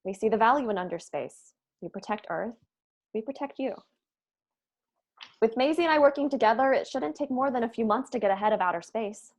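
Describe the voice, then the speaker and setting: A brainy young woman with a fairly high voice, precise and sincere about her plans. A woman acts her out at a script read-through. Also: expressive, a little fast, darkish tone. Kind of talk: table read of a comedy script.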